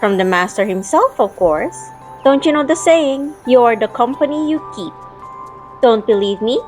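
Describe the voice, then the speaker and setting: A woman's voice: fairly high pitch, lively intonation, normal speed, natural voice. A young woman playing a spirited, teasing childhood friend in an audio drama, telling the story in her own words and acting out playful banter. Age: young adult. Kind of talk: audio drama narration and dialogue. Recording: music playing behind the voice.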